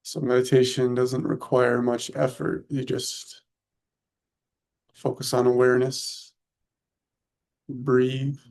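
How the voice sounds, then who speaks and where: A man leading a guided meditation in short, unhurried, soothing phrases with long pauses between them. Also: mid-range voice, monotone, darkish tone.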